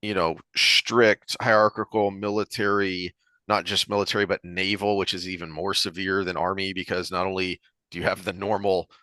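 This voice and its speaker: The low-voiced man on a book podcast, thinking aloud into a close, dry mic as he explains and digresses. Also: level tone, moderate pace, pushed and bright, no room sound. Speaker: podcast host discussing books. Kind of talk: conversational analytical discussion.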